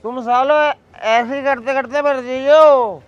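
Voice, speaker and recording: A man's voice: extremely high pitch, fairly even intonation, takes it slowly, clean tone, lo-fi sound, soft background music. A man playing a swaggering, scornful village crank who scolds and boasts, in a comedy sketch. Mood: scornful, boastful.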